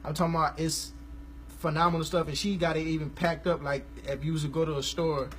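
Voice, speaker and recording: A man, hosting a casual live stream about gospel music, talking in a relaxed, rambling, slangy way. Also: a little high, quick pace. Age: 20s.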